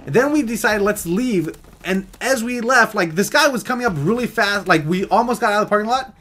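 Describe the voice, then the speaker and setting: A man's voice: sits high, lively intonation, a little fast, sharp tone. A young American man telling a story in a casual, rambling, jokey vlog style. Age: young adult.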